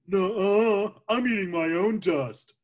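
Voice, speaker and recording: A man's voice: high-pitched, dull audio. A man voicing a dim, proud and gullible cartoon starfish in a naive way. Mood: proud, childish. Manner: slow, naive. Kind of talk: cartoon script voice acting.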